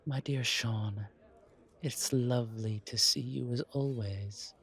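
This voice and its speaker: A man voicing a courtly, wary, centuries-old vampire in a roleplay audio drama, speaking in a measured, formal, breathy way. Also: medium pitch, a bit bright. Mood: fond. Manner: measured, formal, politely dry.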